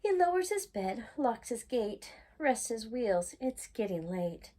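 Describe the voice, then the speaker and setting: A woman reading a children's bedtime picture book aloud in a gentle, singsong way. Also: on the high side, big pitch swings, a little slow. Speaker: children's storytime reader.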